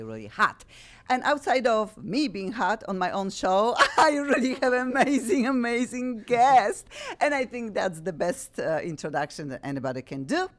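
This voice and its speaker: A woman from Poland with a Polish accent, hosting a radio talk show in bubbly, gushing, loosely worded English. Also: mid-range voice. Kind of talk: casual studio talk show.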